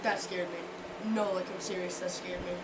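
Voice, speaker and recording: A woman's voice: on the low side, fairly even intonation, normal speed. A woman chatting casually as she reacts to videos, tossing out short, slangy, joking remarks. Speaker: reaction streamer watching scary videos. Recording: heavy background noise.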